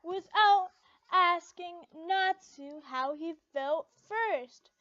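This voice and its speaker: A woman hosting a fan fiction reading, reading aloud casually with chatty asides and stumbling over words in an informal, halting way. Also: very high-pitched, a bit bright, dry recording, muffled recording. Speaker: fan fiction reader, channel host.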